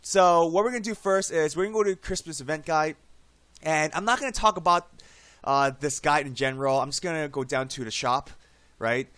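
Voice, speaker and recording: A man recovering from a cold, his voice slightly hoarse, chatting casually through a screen-recorded game tutorial and tagging "right?" onto his sentences. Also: on the high side, a little fast, fairly bright tone, no echo. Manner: chatty, frequent "right?" tag questions. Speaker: game guide YouTuber.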